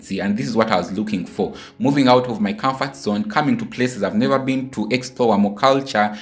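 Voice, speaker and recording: A man in his twenties from Uganda, talking casually and directly to viewers in a travel vlog. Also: on the low side. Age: 20s. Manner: chatty, repeats place names, addresses viewers directly. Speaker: vlogger.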